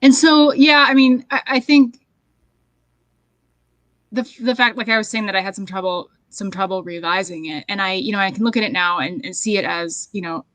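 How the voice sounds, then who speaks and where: Hesitant woman, medium-pitched and dry. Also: steady tone, a bit bright, no echo, poor audio. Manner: hesitant, reflective, halting. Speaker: guest author. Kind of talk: casual interview answers. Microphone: headset on a video call.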